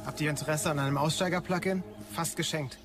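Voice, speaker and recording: An actor playing a creepy, insistent street peddler with cryptic talk in a sci-fi audio drama. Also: male voice, a little high, fairly even intonation, fast, noisy background, natural voice. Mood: coaxing, eerie.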